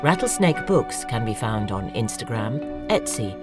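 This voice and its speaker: A woman's voice: extremely low pitch, big pitch swings, unhurried. A woman reading scripted credits and a sponsor message as an announcer. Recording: loud music under the voice.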